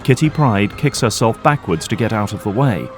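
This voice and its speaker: A man's voice: on the low side, lively intonation, moderate pace, music in the background. A man delivering scripted narration as the storyteller of an audio drama.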